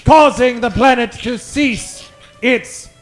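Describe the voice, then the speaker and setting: A man's voice: extremely high pitch, slow pace. A man narrating and announcing in a grandiose, projected old-time radio serial style. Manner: grandiose, projected showman delivery. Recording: live stage with music and crowd.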